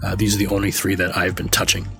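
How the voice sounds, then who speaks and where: A man's voice: low-pitched, completely flat delivery, fast talker, vocal fry, a bit bright, soft background music. Stuffy-nosed man rambling through a game tutorial. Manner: rambling, offhand, swears casually. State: stuffy from a cold. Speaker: game vlogger. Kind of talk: casual screen-recorded game tutorial.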